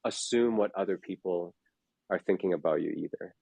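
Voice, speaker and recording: A man's voice: sits low, a little fast, creaky, warm tone, breathy edge, no echo. A man in his forties, a fitness coach, talking in a casual chat, rambling with lots of fillers and restarts. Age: 40s.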